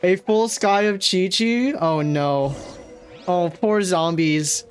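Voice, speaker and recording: A woman gamer with a low voice reacts casually to the game in front of her. She muses aloud and jokes along, with faint music in the background. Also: slow pace. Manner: joking, musing aloud. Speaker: gaming streamer.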